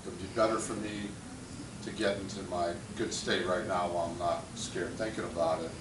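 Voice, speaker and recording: A man's voice: sits low, little pitch movement, normal speed. A man in the audience of a hotel meeting room asking a hesitant question, checking he understands. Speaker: workshop attendee. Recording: roomy, distant, noisy.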